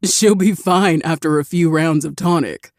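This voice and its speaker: A woman voicing an amused, easygoing male demon who teases dryly, a little lower and louder than her narration. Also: a little slow, no room sound. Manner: laid-back, dry teasing.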